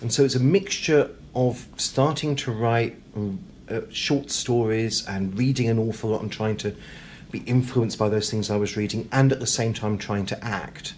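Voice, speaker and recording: A man's voice: medium pitch, normal speed, a little mellow. A British man chatting as an interview guest, talkative and self-deprecating, with hesitant, repeated words. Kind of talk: casual interview conversation. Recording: clear background noise, room ambience.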